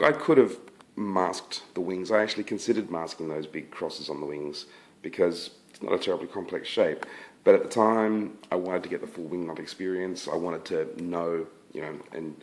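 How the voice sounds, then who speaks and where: A low-voiced man talks through his finished scale model in an easy, unhurried way. His voice is croaky because he has been sick, and he stumbles into fillers and restarts as he thinks aloud. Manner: relaxed, hesitant, many fillers and restarts. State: croaky from illness. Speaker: scale model hobbyist presenting his build. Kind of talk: casual review to camera.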